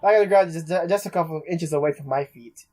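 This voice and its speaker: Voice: medium pitch, a little fast, mellow. A let's player reading a visual novel aloud in a dramatic, stumbling way and reacting along the way. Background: game music.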